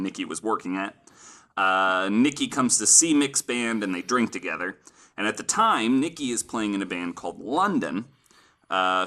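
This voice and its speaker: A man with a fairly low, bright and forceful voice hosts a podcast. He tells stories from his notes in a casual, informal way and is happy to swear. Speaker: podcast host and storyteller.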